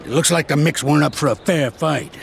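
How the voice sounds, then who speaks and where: Gravelly old-school mobster mentor. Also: male voice, a little high, fairly quick, slight background noise. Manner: streetwise, lively, explains patiently. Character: older male mobster inmate, seasoned and reassuring mentor. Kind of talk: video game cutscene acting.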